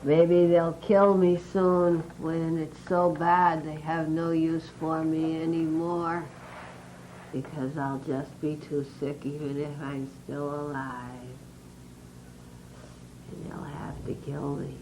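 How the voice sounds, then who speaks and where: A low, flat voice of a sick animal resigned in its cage, as a woman gives it words. She speaks extremely slowly and gravely, each plea drawn out. There is faint background noise. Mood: hopeless. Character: sick, hopeless caged animal.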